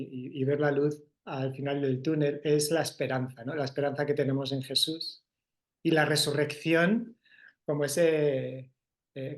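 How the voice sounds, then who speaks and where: A man with a Spanish accent from Spain, an event organizer answering interview questions in a reflective way, often tagging his sentences with a questioning "no". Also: fairly high pitch. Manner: reflective, frequent "¿no?" tags and restarts. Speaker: festival organizer.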